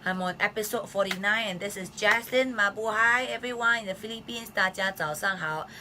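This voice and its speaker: A woman with a hoarse voice hosting a casual live health stream, chatting with lots of fillers. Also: medium pitch, fairly quick, sharp tone, slight background noise. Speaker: host of a live health show. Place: Philippines.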